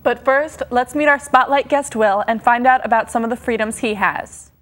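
A young woman co-hosting a studio TV show, reading scripted lines with a clear, rehearsed delivery. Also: on the high side, normal speed, a bit bright. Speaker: co-host. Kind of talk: scripted TV presenting. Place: indoor TV studio. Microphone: lapel.

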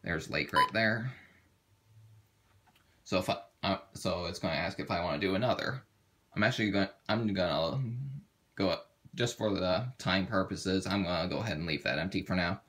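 A man giving a casual, halting how-to walkthrough, thinking aloud as he demonstrates a product. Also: deep voice, fairly bright tone. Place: indoors at a table. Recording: very noisy home video, clicks and beeps.

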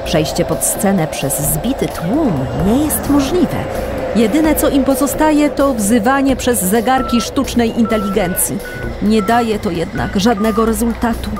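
A woman's voice: on the high side, animated, unhurried. A woman reading dramatic scripted narration for a children's audio drama. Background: loud music.

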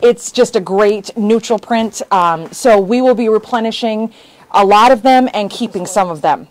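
A woman's voice: medium pitch, normal speed, darkish tone, no echo. A woman in her thirties hosting a casual sewing-shop live stream from her studio. She chats, laughs often and jumps between topics. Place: indoor sewing shop studio with bags on shelves.

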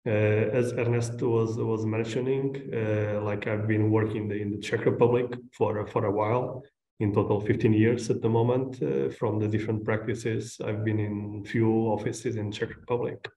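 Hesitant Portuguese man, mellow, roomy sound. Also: fairly low pitch, level tone. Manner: hesitant, halting delivery. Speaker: guest lecturer, architect. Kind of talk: informal online lecture. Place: indoors on a video call, roomy sound. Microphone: video call at desk distance. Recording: roomy, echoing video-call sound.

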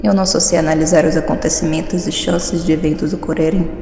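A woman playing a sly sentient computer program in an audio drama, speaking formally with measured condescension. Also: low-pitched, level tone, darkish tone, soft background music. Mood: composed, cool. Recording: echoey reverberant dream-space effect.

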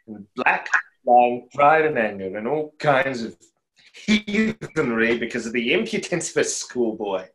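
Tormented young conjurer, mid-pitched man acting. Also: expressive, a little slow, a bit bright, low-quality recording. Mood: remorseful. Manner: earnest, dramatic. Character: proud, tormented, remorseful conjurer. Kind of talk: online play reading. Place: small room, indoors.

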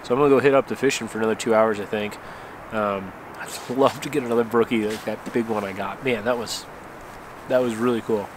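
A man's voice: fairly low pitch, fairly even intonation, brisk. A man in his thirties with an American accent, chatting casually and rambling to camera as an outdoor vlogger. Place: outdoors in mountain wilderness. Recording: outdoor ambient noise, wind.

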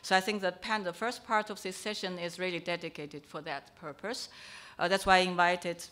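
Bright-voiced woman moderating a panel. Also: on the low side, fairly even intonation, normal speed. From China. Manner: hesitant, many restarts and fillers. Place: event hall with a panel on stage.

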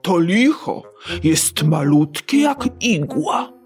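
A woman voicing a kindly old man in a storybook who gently gives a girl advice. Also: lowish voice, big pitch swings, faint music behind. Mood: gentle advice. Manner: gentle, unhurried, advising.

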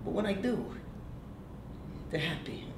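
A man playing a soft-spoken, menacing mobster-like painter. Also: sits high, expressive, a little slow, noisy background, pitched below the performer's own voice. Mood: calm. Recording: roomy echo.

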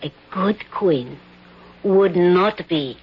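An earnest peasant maid, broken english, very slow, low and breathy, dull old radio sound. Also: female voice, expressive, exaggerated voice. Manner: halting broken English, pleading. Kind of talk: 1970s radio drama acting. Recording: dull lo-fi vintage radio broadcast.

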